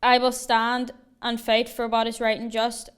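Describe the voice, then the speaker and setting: Young woman, from Northern Ireland, clear voice, flat delivery, measured speech, video call. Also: fairly high pitch. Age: young adult. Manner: measured, deliberate reading. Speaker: rally speaker. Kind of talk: prepared speech read aloud.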